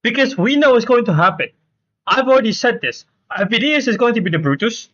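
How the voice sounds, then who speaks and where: A man doing casual live game commentary, halting and repeating himself for emphasis. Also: extremely high pitch, animated, a bit bright. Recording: dull, lo-fi stream audio.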